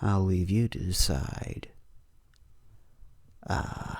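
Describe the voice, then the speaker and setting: Male horror host, dramatic narration, unhurried pace, dry close recording. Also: sits low, very animated, vocal fry, no echo. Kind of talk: dramatic horror story reading.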